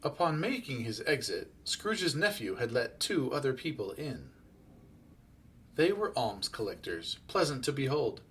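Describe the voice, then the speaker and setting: A man's voice: mid-range voice, very animated, measured pace, fairly bright tone, breathy edge. A man giving scripted storytelling narration as a narrator in a radio play.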